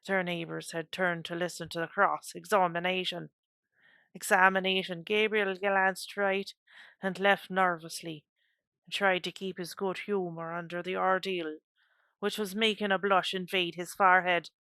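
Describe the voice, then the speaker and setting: A woman reading a story aloud on a live stream, translating it as she goes, halting with fillers. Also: fairly low pitch, flat delivery, pushed and bright, clean tone, dry recording, clean studio sound. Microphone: close microphone.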